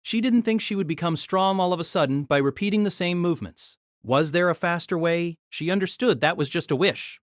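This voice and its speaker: A narrator reading a novel aloud in an expressive storytelling manner. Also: mid-range voice, a little fast, hardly any pauses, no room sound, studio quality.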